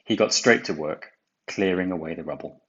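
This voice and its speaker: A man reading a children's storybook aloud, with deliberate, dramatic emphasis. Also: low voice, fairly bright tone, lo-fi sound. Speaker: storybook reader. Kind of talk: expressive children's read-aloud narration.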